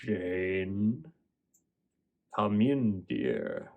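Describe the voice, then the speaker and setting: A man voicing a sly wolf who poses as a frail old grandmother, coaxing slowly in a low, hushed, breathy voice. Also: very animated, narrow, tinny audio, heavily acted. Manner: slow, coaxing, drawn-out endearments. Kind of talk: storybook character voice in oral storytelling.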